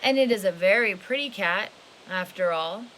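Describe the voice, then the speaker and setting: A woman's voice: medium pitch, moderate pace, fairly bright tone, close to an everyday voice, rough recording. A woman voicing a very old woman who is practical and kindly, a little fretful, in a storybook. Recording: hissy home recording.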